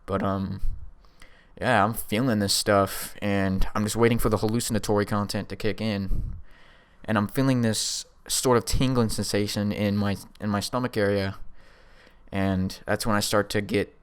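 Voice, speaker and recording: Smooth, lower-pitched young man telling stories. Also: flat delivery, moderate pace. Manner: rambling, slangy, chuckles as he talks. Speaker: storyteller vlogger. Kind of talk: casual first-person storytelling.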